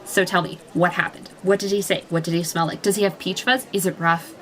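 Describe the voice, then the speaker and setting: A woman voicing an excitable, gossipy teenage schoolgirl who fires off eager questions. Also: fairly low pitch, lightly acted. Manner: gushing, eager rapid questions.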